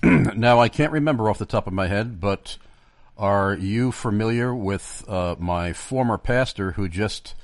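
Low-voiced man hosting talk radio. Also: unhurried, no room sound. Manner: loud greeting, then chatty with many fillers. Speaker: radio talk show host. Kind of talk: live radio interview. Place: radio studio.

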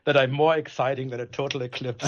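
A man from Germany, a native German speaker with a German accent, answering interview questions as a pastor in an earnest, explanatory way. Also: mid-range voice.

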